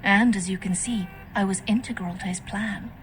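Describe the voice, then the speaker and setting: A woman's voice: mid-range voice, fairly even intonation, a little hiss or hum, close to an everyday voice. An actress playing a composed, scheming female vampire faction leader in a video game, speaking formally. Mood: composed, confiding. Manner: formal, measured, stately. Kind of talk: video game dialogue acting. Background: music.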